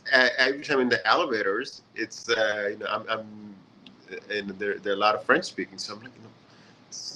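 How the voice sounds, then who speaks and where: Relaxed, chatty male host, lowish bright voice, faint background noise. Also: rough recording. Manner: relaxed, chatty, many fillers. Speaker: session host. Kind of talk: casual online lesson. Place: hotel in a city abroad.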